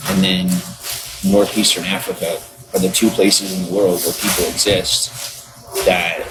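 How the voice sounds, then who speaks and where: A man's voice: deep voice, poor audio. A man chatting on a livestream, earnest and rambling, reading and answering viewers. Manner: rambling, earnest, many restarts. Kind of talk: casual livestream chat.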